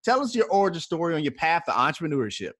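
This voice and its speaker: A man's voice: a little high, sing-song, fast, a bit bright. Upbeat man hosting a podcast. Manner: upbeat, repeats phrases like "for sure" and "got you". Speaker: podcast host.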